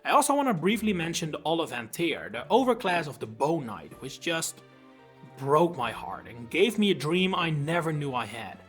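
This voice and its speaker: A man's voice: a little high, sing-song, moderate pace, fairly bright tone. A young man with a Dutch accent, a native Dutch speaker, narrating a scripted video essay with enthusiasm and wry asides. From Netherlands. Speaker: YouTube host. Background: faint background music.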